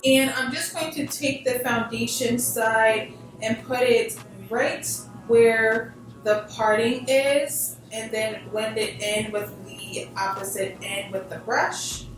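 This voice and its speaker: A woman giving a casual, chatty hair tutorial in an informal, emphatic way, peppered with filler words like "literally". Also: on the high side. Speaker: beauty vlogger presenting a tutorial. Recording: very echoey room, distant mic, faint music.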